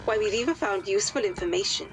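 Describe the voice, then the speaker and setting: Woman playing adventure leader, composed, businesslike, formal, medium pitch, clear background noise, game cutscene. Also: quick pace, natural voice, low-quality recording. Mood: brisk, resolute. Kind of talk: video game cutscene acting.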